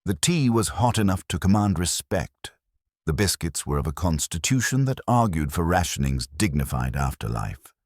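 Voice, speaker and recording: A man reading scripted mystery fiction as narrator, in a measured, wry, literary manner. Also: deep voice, big pitch swings, a little mellow, no room sound, studio quality.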